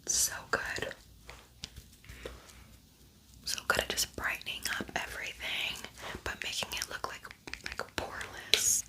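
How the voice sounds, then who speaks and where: A woman's voice: normal speed, low-quality recording. Whispering woman doing casual ASMR. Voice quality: breathy, unvoiced whisper. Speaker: ASMR beauty vlogger. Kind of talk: whispered ASMR makeup tutorial, casual chat.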